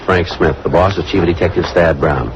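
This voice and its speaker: A low-pitched male police detective played by a radio actor speaks in clipped, matter-of-fact phrases. He is terse and businesslike, in vintage radio drama style. The old recording is muffled and clearly noisy. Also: normal speed, natural voice. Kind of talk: 1940s-50s radio crime drama acting.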